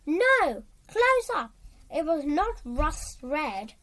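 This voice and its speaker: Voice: high voice, big pitch swings, bright, forceful tone, low-quality recording. A young child reading a storybook aloud, stumbling now and then and going back over words. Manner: halting, stumbles and rereads words. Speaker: storybook reader.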